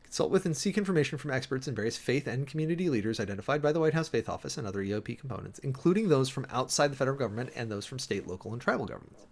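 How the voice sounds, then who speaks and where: A man with a mid-range voice that swings widely in pitch as he reads a document aloud. He is theatrical and emphatic, like a preacher-host performing for viewers. He often digresses into sardonic commentary between the formal passages. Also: lively intonation, racing, vocal fry, hardly any pauses. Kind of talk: dramatic reading of a government document with commentary.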